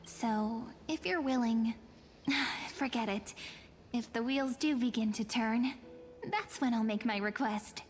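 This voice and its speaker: A mysterious young fortune reader in a video game. She speaks softly and teasingly, with a wistful edge. The voice is high, with faint music under it. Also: takes it slowly. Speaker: game character.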